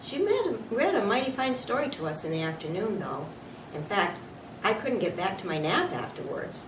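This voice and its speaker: A woman narrates a children's book, reading from the text. She sounds slightly distant in an echoey room, with clear noise on the recording. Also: mid-range voice, sing-song, moderate pace. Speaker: storybook reader.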